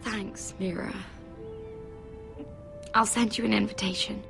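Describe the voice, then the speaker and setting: An actress playing a gentle, earnest young noblewoman who speaks softly and sometimes drops to a whisper, in a story game. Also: medium pitch, fairly even intonation, gravelly, music in the background. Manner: soft, slow and earnest, dropping to whispers.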